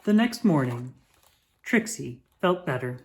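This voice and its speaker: A woman reading a children's picture book aloud with expressive, drawn-out emphasis. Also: rumbling low. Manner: animated, dramatic emphasis with drawn-out words.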